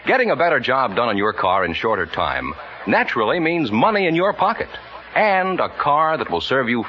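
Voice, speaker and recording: A man's voice: medium pitch, sharp tone. A man announcing for a 1950s radio show in a polished, projecting salesman's delivery. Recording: dull old radio recording, faint noise.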